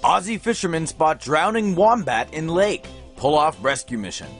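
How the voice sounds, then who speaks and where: Male narrator for light news, fairly high voice, playful storytelling, music underneath. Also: big pitch swings, moderate pace.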